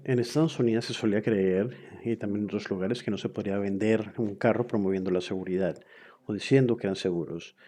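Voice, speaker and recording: Low, dark-voiced male interpreter. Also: brisk. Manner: hesitant, with frequent fillers and self-corrections. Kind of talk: simultaneous interpretation of a webinar lecture.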